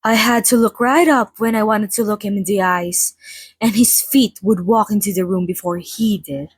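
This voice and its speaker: A theatrical female vampire over a hundred years old, played by a woman, chats in a soft, husky, breathy voice. She is playful and mock-grand, like a hostess in an intimate ASMR roleplay. The sound is close and very dry. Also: medium pitch, moderate pace, no echo, natural voice. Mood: gossipy, wry. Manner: playfully grand, mock-formal, dramatic, chatty. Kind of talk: ASMR roleplay, chatty in-character monologue. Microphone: close studio microphone, dry.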